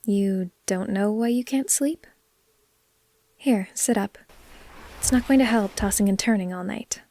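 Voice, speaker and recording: A woman playing a caring, gently teasing vampire in a soft, unhurried, comforting ASMR roleplay, sometimes slipping toward a whisper. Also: medium pitch. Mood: gentle, concerned.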